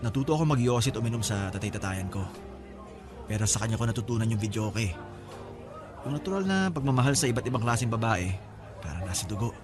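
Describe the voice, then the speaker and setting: Actor as low-voiced breezy, teasing older brother. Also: male voice, expressive, fast talker, long pauses, music in the background, close to an everyday voice. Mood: candid, casual. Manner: teasing, casual, talkative. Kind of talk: Filipino radio-style drama dialogue.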